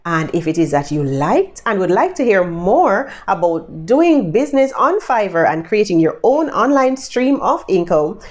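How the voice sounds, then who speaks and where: A woman's voice: mid-range voice, very animated, normal speed, few pauses. A Jamaican woman giving casual, chatty tutorial tips to her viewers, upbeat and addressing them directly. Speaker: vlogger giving freelancing tips.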